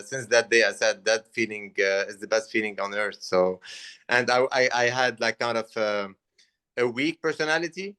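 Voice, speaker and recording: A man's voice: fairly low pitch, pushed and bright, clean tone. Earnest Lebanese-accented young man. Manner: earnest, halting with restarts. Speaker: guest boxer. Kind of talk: interview answers.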